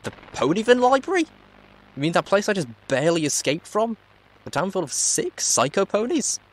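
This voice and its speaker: A man's voice: sits high, sing-song, a little fast, pitched above the performer's own voice, poor audio. A man voicing a young female pony wanderer in a storybook reading, wary and easily alarmed, with questioning, exclaiming delivery. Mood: alarmed, indignant.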